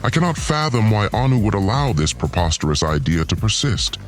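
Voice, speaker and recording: A man's voice: fairly low pitch, very animated, brisk, faint music behind. A man playing a vengeful, disdainful god lord in an audio drama, delivering a formal, pompous and menacing villain monologue. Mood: indignant, scornful. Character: vengeful, disdainful male god lord plotting against humans.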